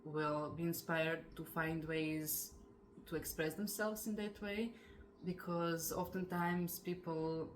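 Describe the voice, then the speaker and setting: A woman hosting an interview podcast who speaks encouragingly and laughs as she talks. Her voice sits very low for a woman. Faint noise hums behind her. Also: level tone, takes it slowly, low-quality recording. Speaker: podcast host. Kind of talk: casual interview talk.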